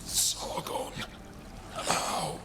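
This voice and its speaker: An actor playing a weary, despairing old soldier in a game cutscene, speaking in slow, halting, solemn, breathy phrases. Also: male voice, background noise. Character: weary aged soldier, imprisoned thirty years, despairing. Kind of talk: dramatic video game cutscene acting. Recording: long reverb, loud music behind.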